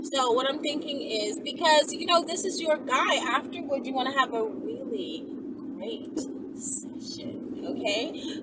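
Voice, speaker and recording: A woman in her thirties talks in a high, very lively voice, giving casual step-by-step advice with plenty of emphasis. She is recorded inside a moving car, a little way from the mic, with loud road noise all around her. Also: far from the mic. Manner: animated, emphatic, often checking with "okay?" and "right?". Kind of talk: casual instructional chat to camera.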